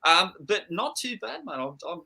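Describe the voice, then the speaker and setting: Bright-voiced Australian man, hint of Scottish. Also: high voice, very animated, normal speed, vocal fry, a little hiss or hum. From Scotland. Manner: easygoing and chatty. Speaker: host. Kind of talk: casual chat.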